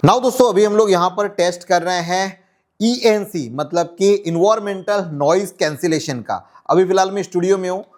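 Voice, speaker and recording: High-pitched man presenting a gadget test. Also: normal speed. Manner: addresses viewers as friends, code-mixes English words. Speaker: host testing walkie-talkie handsets. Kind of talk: product test vlog, explaining to viewers.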